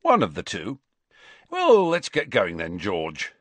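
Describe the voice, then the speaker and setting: A man's voice: fairly low pitch, huge pitch swings, slow pace, breathy edge, no room sound, full character voice. A man playing a mocking, mischievous older-teen twin brother in a storybook, teasing in a mock-grand drawl. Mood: flippant.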